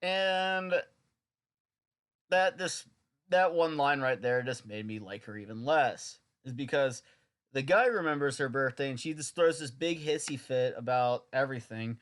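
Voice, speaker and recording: A man's voice: mid-range voice, animated, measured pace, fairly bright tone. A young man reviewing an old anime dub in a casual, sarcastic, rambling way.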